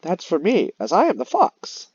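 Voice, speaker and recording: A man voicing a sly, flattering storybook fox with exaggerated, drawn-out sweet talk. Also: high voice, husky, no echo, lo-fi sound, pitched above the performer's own voice, exaggerated voice. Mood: greedy, self-assured.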